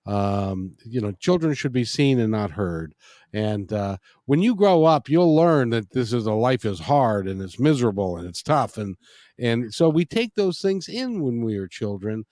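Guy hosting an interview, animated and jokey, lots of ums, dry close sound. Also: mid-range voice, moderate pace, no echo. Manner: animated, jokey, with many fillers. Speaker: host. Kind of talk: interview conversation.

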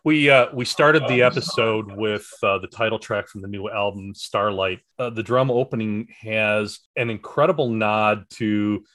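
A man's voice: lowish voice, moderate pace, hardly any pauses, a bit bright. A man hosting a music interview who asks long, enthusiastic questions and praises his guest's work. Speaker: interview host. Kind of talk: podcast interview.

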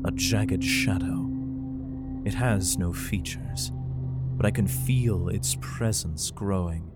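A man plays a haunted father in a dystopian audio drama. His lowish voice is brooding and intense, full of anxiety, with prominent music underneath. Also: slow pace. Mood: haunted, ominous. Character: anxious, haunted father narrating a dystopian sci-fi nightmare. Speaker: lead actor and narrator.

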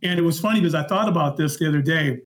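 A man's voice: a little high, racing. A middle-aged man, a podcast guest and former security worker, telling casual anecdotes and acting out bits of dialogue.

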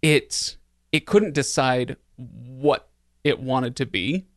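A man's voice: mid-range voice, slow pace, no room sound, clean studio sound. A man talking casually about films as a podcast host, opinionated and repeating words for emphasis. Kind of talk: casual film discussion.

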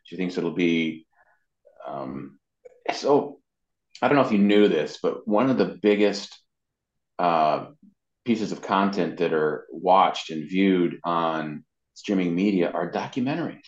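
Deep voiced guy chatting as a guest, kinda rambly, echoey muffled sound. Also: expressive, takes it slowly. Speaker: interview guest, voice actor and web designer. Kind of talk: casual interview talk. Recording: dull, roomy, lo-fi sound.

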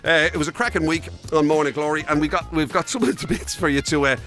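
Irish radio guy, quick and bright, chatty, teasing, bit high for a man. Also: faint music behind. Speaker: radio show co-host. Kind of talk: casual chatty radio presenting.

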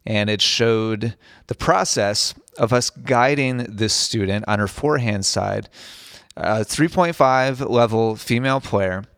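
A man's voice: on the low side, takes it slowly, fairly bright tone, no room sound, studio quality. A man hosting a tennis podcast, talking earnestly and repeating himself as he restarts phrases, his voice a bit strained after recovering from an illness. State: recovering from voice loss, slightly strained. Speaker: podcast host and tennis coach. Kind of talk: solo podcast talk. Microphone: studio podcast microphone.

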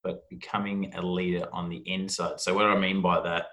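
A man's voice: sits low, level tone, a little fast, bright, forceful tone. A man with an Australian accent talking casually and informally as a podcast host and coach.

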